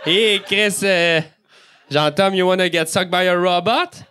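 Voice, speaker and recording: A man's voice: high voice, measured pace, bright, forceful tone, a little hiss or hum. A man from Quebec whose first language is French, a playful podcast guest. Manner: playful, egging others on. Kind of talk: casual comedic banter.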